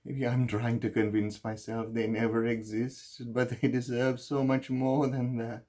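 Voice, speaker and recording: A very low-voiced husband weighed down by guilt, played by a man in a dramatic stage monologue. He confesses slowly and haltingly, leaving heavy pauses between phrases. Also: little pitch movement, darkish tone, slightly rough, full character voice. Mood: distraught, intense. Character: guilt-ridden husband who caused a fatal drunk-driving crash.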